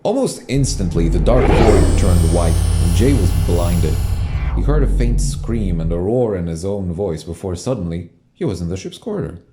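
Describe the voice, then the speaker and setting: A man's voice: low-pitched, very animated, moderate pace. A man reading scripted narration for a tense science-fiction audio drama. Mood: narrating. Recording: loud music behind the voice. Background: loud dramatic music.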